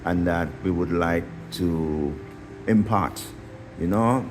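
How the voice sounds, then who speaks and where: A man with a somewhat low voice conducts an interview, often restarting his questions and stumbling over repeated words. A faint hiss sits behind his voice. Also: slow. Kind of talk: news interview.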